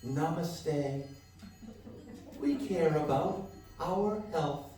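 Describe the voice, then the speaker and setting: A man's voice: on the high side, drawn-out words, mellow, faint music behind, voice pushed down. A man playing an earnest health-food tea house owner in a parody radio advertisement. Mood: earnest calm. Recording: echoey live hall, distant mic.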